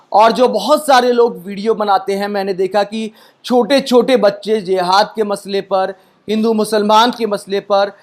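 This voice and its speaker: A man presenting critical news commentary, speaking emphatically with rhetorical questions and repeated phrasing. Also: sits high.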